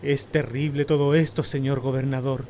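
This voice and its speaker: A male actor as a solemn sea captain in a radio play. His voice is medium in pitch and measured as he reports a disaster, with faint ambient noise behind him. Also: flat delivery, warm tone, lightly acted. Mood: grave, shaken. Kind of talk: radio drama acting.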